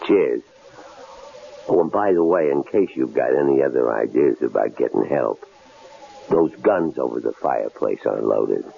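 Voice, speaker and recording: Very deep, gravelly, taunting killer. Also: male voice, expressive, normal speed, full character voice. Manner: taunting, coolly sardonic, drawling questions. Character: menacing male killer holding a snowbound couple captive. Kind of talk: 1970s radio drama acting. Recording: tinny, noisy old radio broadcast recording.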